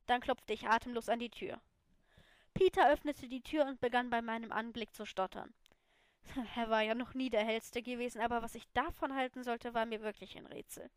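A woman reading her own fan fiction aloud as an amateur, in expressive first-person narration. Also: fairly high pitch, clear, resonant tone, dry recording.

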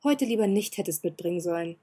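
A cold, sulky boy earl who clips his words short and keeps a sarcastic bite, read by a woman. The voice stays mellow and a little husky, close to the reader's own. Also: lowish voice, expressive, fast talker.

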